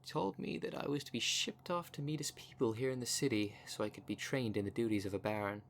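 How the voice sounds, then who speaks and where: Male werecat played by a man: boastful, cunning, menacing, mid-pitched, theatrical audio roleplay delivery, faint background noise. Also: quick pace, vocal fry, fairly bright tone. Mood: smug storytelling. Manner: smug, taunting, theatrical. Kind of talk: ASMR roleplay monologue.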